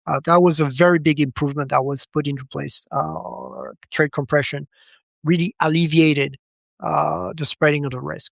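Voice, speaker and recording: A man with a slightly high voice talks as a guest analyst in an unscripted interview. He moves haltingly, doubling words and restarting phrases. The sound is dry, with very little room in it. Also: fairly even intonation, creaky, dry recording. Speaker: podcast guest, credit market analyst.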